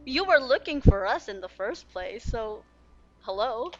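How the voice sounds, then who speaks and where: A woman gives casual, playful commentary as a game streamer, talking back to characters. Her delivery is slow but lively, slightly muffled, with game music behind. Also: on the high side.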